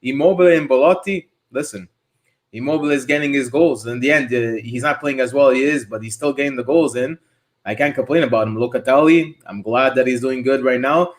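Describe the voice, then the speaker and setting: Excited guy on a podcast going on about football, casual. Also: mid-range voice, brisk. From Canada. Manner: animated, enthusiastic football talk. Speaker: podcast co-host. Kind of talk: casual chat.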